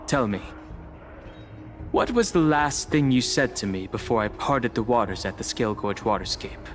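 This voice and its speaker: A man voicing a reserved young warrior companion in a video game, calm, serious and understated. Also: mid-range voice, normal speed, soft background music, lightly acted. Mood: wary, calm.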